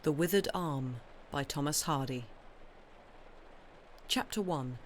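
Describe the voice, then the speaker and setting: A woman's voice: low-pitched, slow. Woman reading a literary story, faint noise. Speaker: audiobook reader. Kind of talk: scripted literary narration.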